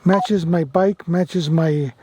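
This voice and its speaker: A man with a high-pitched voice chats casually to his viewers as a radio hobbyist. He is enthusiastic about his gear and tends to repeat his phrases as he demonstrates it. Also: slow pace. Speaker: amateur radio vlogger. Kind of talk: casual product demo and radio operating.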